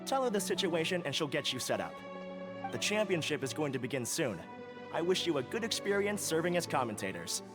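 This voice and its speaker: A man playing a courteous, reassuring academy official in a video game, polite and measured. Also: fairly high pitch, big pitch swings. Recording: clear music under the voice.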